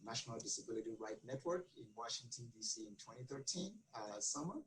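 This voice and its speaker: A man's voice: mid-range voice, lively intonation, fast talker, a bit bright, slight background noise, poor audio. A man from Ghana, answering interview questions earnestly with many fillers and restarts. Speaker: guest.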